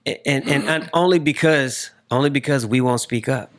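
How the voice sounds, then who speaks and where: Forties man hosting a casual interview, mid-pitched. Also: moderate pace, a bit bright. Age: 40s. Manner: circles toward his point with repeated phrases. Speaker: podcast host interviewing a guest.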